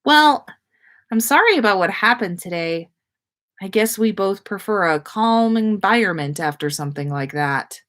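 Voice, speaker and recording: A woman's voice: mid-range voice, expressive, moderate pace, fairly bright tone, lightly acted. A woman voicing a friendly, curious schoolgirl who speaks eagerly in a story read aloud. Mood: curious, sympathetic.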